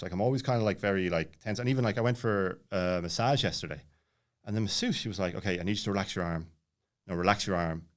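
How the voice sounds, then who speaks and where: A man in his late thirties telling a personal story casually, rambling and jumping around with lots of filler words. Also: fairly low pitch. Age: late 30s. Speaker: podcast guest.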